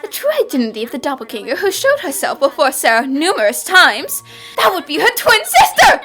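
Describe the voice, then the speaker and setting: Casting: assured young lady sleuth for cartoon dub played by a woman; high, swooping delivery, theatrical explanations. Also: big pitch swings, a little fast, pushed and bright, soft background music, heavily acted. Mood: triumphant. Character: confident, theatrical young female detective. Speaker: voice actor. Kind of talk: fan-dubbed cartoon acting.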